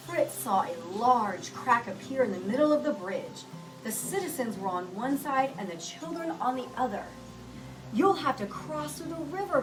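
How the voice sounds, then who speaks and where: A woman reading a children's picture book aloud with expressive, deliberate storytelling delivery. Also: fairly high pitch. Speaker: storybook reader. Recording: roomy echo, distant mic, music behind.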